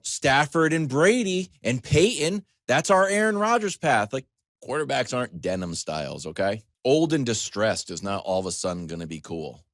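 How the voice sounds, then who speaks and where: A man with a fairly low, very animated voice delivers a comedic sports monologue as a talk show host. He is conversational and emphatic, slipping into asides and imagined questions. The sound is close and dry, as on a broadcast studio microphone. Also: no room sound.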